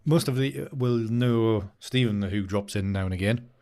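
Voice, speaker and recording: A man's voice: fairly low pitch, normal speed, warm tone. A man hosting a live stream, chatting casually and rambling while he edits photos, often laughing and answering comments from chat. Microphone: new studio microphone.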